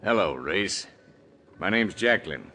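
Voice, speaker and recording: An actor playing a smooth, menacing gangster in a calm, threatening 1940s radio style. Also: male voice, deep voice, slow, slight background noise, full character voice.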